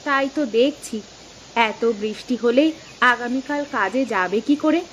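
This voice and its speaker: A woman voicing a practical, reassuring village housewife and mother in a cartoon, calm and homely in manner. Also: fairly high pitch, normal speed, slight background noise, natural voice. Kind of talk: cartoon voice acting. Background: plucked mandolin music.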